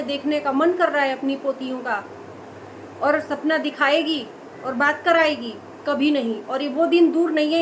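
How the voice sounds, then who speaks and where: A woman's voice: high-pitched, a little hiss or hum, poor audio. A woman delivering an impassioned commentary, indignant and scolding, full of rhetorical questions. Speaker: video commentator.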